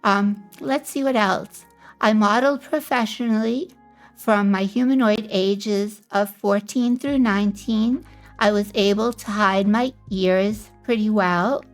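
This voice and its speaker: This is a woman streamer introducing herself in a relaxed, meandering way, with frequent pauses between her thoughts. Her pitch sits in the middle range and she speaks at a very slow pace. Faint background music plays underneath her voice. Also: rough recording. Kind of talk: casual self-introduction stream.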